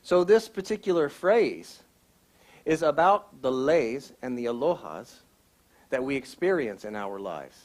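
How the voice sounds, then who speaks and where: A man's voice: mid-range voice, sing-song, unhurried. A man speaking from the front of a church, giving a Sunday message in an explanatory, teaching tone. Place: church sanctuary.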